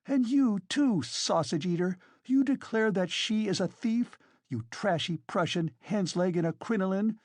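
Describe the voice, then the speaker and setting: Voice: mid-range voice, big pitch swings, unhurried, a little mellow, dry recording, pitched above the performer's own voice. A narrator voicing a frantic, furious, proud woman in a dramatised audiobook, shrieking and exclaiming. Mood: frantic fury. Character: hysterical, furious, proud wasted woman defending a girl.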